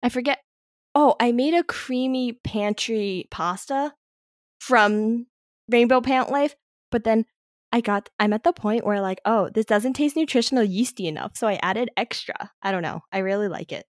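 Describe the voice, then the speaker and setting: A woman's voice: a little high, normal speed, no room sound, clean studio sound. A young woman co-hosting a casual podcast chat, thinking aloud in loose, rambling sentences full of hedges. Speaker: podcast co-host.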